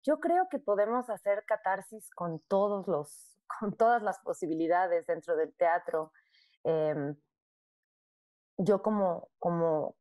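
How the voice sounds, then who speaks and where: A woman who works in theatre as director and actress, answering interview questions in a reflective way, pausing often and repeating words as she thinks. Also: on the low side, darkish tone, dry recording. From born in Argentina, raised in Mexico.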